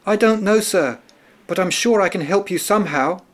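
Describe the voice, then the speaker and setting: A man voicing a timid, polite little male mouse that pleads quickly and eagerly. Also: high voice, pitched above the performer's own voice. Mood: eager pleading.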